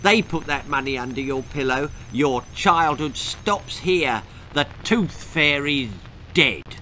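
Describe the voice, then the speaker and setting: A man's voice: fairly high pitch, expressive, slow, pushed and bright, slight background noise, exaggerated voice, poor audio. A man voicing a nasty, scornful big-sister girl in a children's story.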